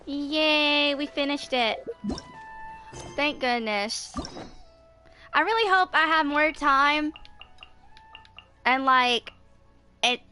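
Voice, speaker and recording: A woman's voice: sits high, bright, forceful tone. A woman in her twenties giving casual, chatty commentary as she plays a video game. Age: 20s. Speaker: gaming video host. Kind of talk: casual game playthrough commentary, reading text aloud.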